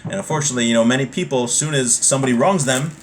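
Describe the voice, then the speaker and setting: A man with a mid-range voice teaches a religious law class. He moves between reading a text and explaining it in a conversational way, going back over points to make them plain. There is clear background noise in the recording. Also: medium pitch, racing, poor audio.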